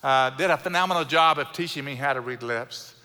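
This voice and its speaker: A middle-aged man with a South Houston Texan accent, preaching a sermon in an earnest way, slowing down to stress key words and adding light self-deprecating humor. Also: medium pitch, pushed and bright. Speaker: pastor. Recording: long hall reverb from a church sanctuary.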